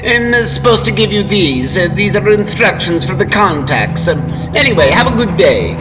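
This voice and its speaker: A man's voice: high-pitched, big pitch swings, over music, voice pushed up, poor audio. A man voicing an eager, hesitant optometrist in a story reading, stumbling over ums and uhs. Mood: awkward, upbeat. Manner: halting, hedging with ums and uhs. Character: eager, hesitant optometrist pitching experimental lenses.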